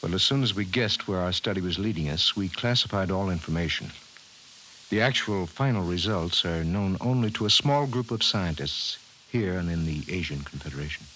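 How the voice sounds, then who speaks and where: Casting: grave professor, vintage radio drama. Lowish, expressive voice, precise formal diction, faint tape hiss. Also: male voice, rough recording. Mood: grave, certain. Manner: measured, formal, emphatic delivery. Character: grave, precise male scientist, a government professor. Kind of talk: 1950s radio drama acting. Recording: hissy 1950s radio recording.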